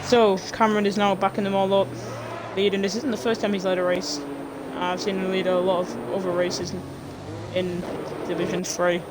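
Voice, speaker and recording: Race commentator over loud engine noise. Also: mid-range voice, moderate pace. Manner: excitable, stumbling over names. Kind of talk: live esports racing commentary.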